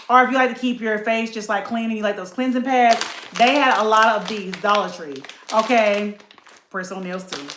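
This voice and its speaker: A woman in her late thirties with a fairly high voice talks viewers through a budget beauty haul. She chats casually and calls them y'all. The sound is roomy and echoey. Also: a little hiss or hum. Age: late 30s. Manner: chatty, animated, addresses viewers as y'all. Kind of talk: casual haul chat to camera.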